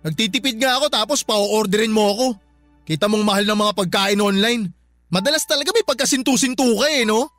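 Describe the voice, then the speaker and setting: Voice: mid-range voice, brisk, fairly bright tone, no echo, lightly acted. A performer playing a lazy, sarcastic young male live-in boyfriend in a radio drama, trading insults and giving orders. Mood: angry. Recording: radio drama with faint background music.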